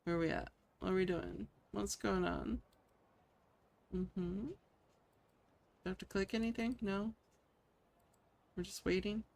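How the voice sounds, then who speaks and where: A woman's voice: mid-range voice, fairly even intonation, a little slow, vocal fry, pauses often, a little mellow. A woman game streamer chatting casually and playfully to herself in a rambling way. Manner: rambling, playful self-talk. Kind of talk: casual gameplay chat.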